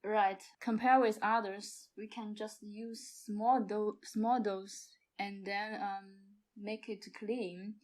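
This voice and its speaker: A woman improvising as a podcast guest, with halting, non-native phrasing. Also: medium pitch, steady tone, darkish tone. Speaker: podcast guest, amateur theatre performer. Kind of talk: improvised role-play and mock product pitching.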